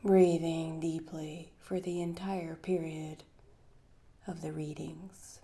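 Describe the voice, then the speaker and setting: Soft mellow lady doing guided meditation, super slow n soothing, kinda low, breathy at phrase ends. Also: little pitch movement. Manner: soothing, unhurried, with long pauses. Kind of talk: guided meditation with poetry readings.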